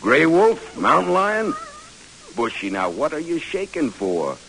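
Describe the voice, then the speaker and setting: An actor playing a brooding, affectionate American army major turned explorer in an old radio drama. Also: male voice, fairly high pitch, huge pitch swings, unhurried, gravelly, fairly bright tone, full character voice, rough recording. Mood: playful, coaxing. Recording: hissy old radio recording.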